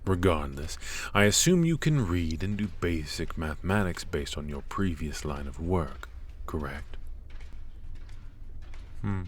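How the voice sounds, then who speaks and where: A man's voice: low voice, lively intonation, a little slow, long pauses, a little hiss or hum. A man voicing a cold, strict royal professor of magic, stern and clipped, with dry, condescending remarks in an ASMR roleplay.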